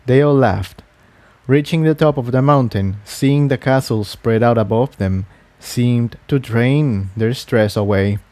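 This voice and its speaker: A man's voice: mid-range voice, unhurried, warm tone, no room sound. A man reading a scripted adventure story aloud as its narrator. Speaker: fan fiction narrator.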